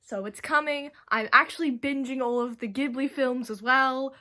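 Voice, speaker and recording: Bright-voiced young woman, hoarse and chatty. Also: sits high, steady tone, normal speed. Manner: chatty, rambling, laughs often. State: hoarse, has lost her voice. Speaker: anime reviewer. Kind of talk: casual video review. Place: bedroom at home.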